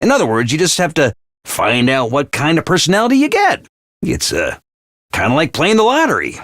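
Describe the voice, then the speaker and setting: An actor playing a theatrical, scheming schoolmaster who barks cheerful orders at troops and then drops to low, calm menace. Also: male voice, fairly quick, no echo, studio quality. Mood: calm sinister. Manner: booming, swings between shouted cheer and low menace. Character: manic theatrical male drill-sergeant schoolmaster, scheming. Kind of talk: video game voice lines.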